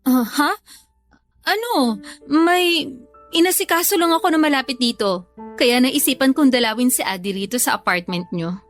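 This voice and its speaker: An actress playing a gentle ex-girlfriend trying to keep the peace in a radio drama. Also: high-pitched, moderate pace, pauses often, soft background music, lightly acted. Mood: placating. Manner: gentle, placating. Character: soft-spoken ex-girlfriend, young woman.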